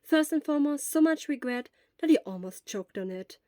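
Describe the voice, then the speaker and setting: Soft-spoken woman narrating a story. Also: high-pitched, expressive, moderate pace, no echo, studio quality. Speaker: fan fiction reader.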